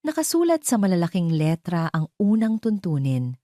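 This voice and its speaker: A female narrator reads a dramatic story from a script. Her voice is deep for a woman and softly dark. The sound is close and dry, with little room on it. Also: big pitch swings, normal speed, no echo.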